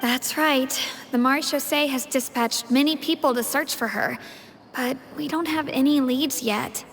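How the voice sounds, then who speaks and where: A woman voicing a polite, composed officer who reports in a formal, measured way, in an English game dub. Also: high voice, in a large hall, slight background noise. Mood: serious, reporting.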